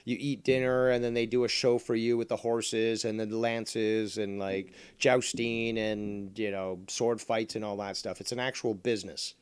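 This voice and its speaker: A man's voice: fairly low pitch, little pitch movement, moderate pace, few pauses, clear, resonant tone, no room sound. A man hosting a casual call-in hangout, joking, swearing and rambling through long explanations. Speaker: host. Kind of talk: casual chat.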